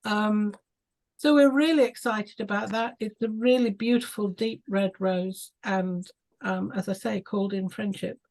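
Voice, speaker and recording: A woman's voice: medium pitch, steady tone, a little slow, a little mellow, low-quality recording. A woman talking casually on a video call, chatty and full of ums and small laughs. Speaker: club member on a video call.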